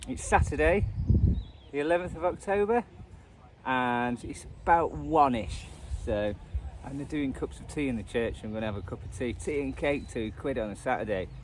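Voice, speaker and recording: Over clear outdoor background noise, a man with a Northern English accent talks casually to his viewers. He thinks aloud, pausing and trailing off as he demonstrates his hobby in an unscripted vlog. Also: medium pitch, lively intonation. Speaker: radio hobbyist vlogger. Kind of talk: casual unscripted chat, demonstrating a radio band scan. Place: outdoors at edge of a churchyard. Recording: noisy outdoor recording with traffic ambience.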